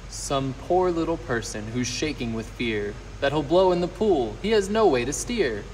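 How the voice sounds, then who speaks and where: A man voicing a gentle, protective elephant in a storybook, speaking with sincere, emphatic pleading. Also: medium pitch, animated, normal speed, long reverb tail, a little hiss or hum, pitched above the performer's own voice, lightly acted, rough recording. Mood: earnest pleading. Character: kind, earnest, protective gentle male elephant.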